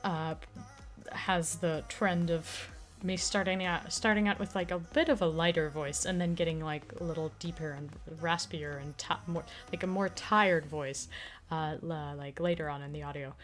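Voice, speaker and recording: Woman, very low voice, slightly raspy throat, rambling casual stream chat, soft music behind. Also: steady tone, normal speed. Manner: rambling, full of fillers and restarts. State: throat still recovering from illness, slightly raspy. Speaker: streamer and audio creator.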